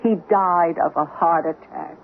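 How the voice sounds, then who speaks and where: An earnest, consoling woman, acted by an actress in an old radio play, ready to take the blame. She pleads slowly, on a dull recording with faint noise. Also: fairly low pitch, close to an everyday voice. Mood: pleading, guilty. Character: consoling, self-blaming woman. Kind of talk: old-time radio drama acting.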